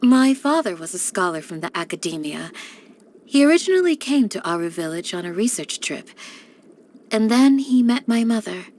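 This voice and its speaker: A woman voicing a calm, devoted young village guardian in a video game, speaking in a measured, gentle and formal way. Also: medium pitch, natural voice. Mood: calm, thoughtful. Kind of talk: scripted game character voice lines.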